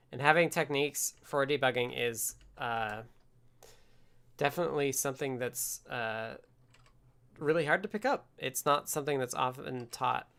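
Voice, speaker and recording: Man thinking aloud, creaky voice. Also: mid-range voice, a bit bright. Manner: halting, muttering to himself while working. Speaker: livestream host, programmer. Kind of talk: casual live coding stream, thinking aloud. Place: indoors at a desk with computer.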